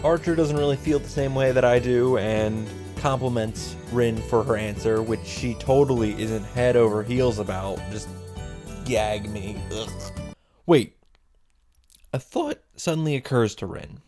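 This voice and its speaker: A man's voice: medium pitch, unhurried, over music. A man narrating a scripted story recap, breaking into sarcastic, exasperated reactions and swearing. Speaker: host of visual novel reaction and summary videos.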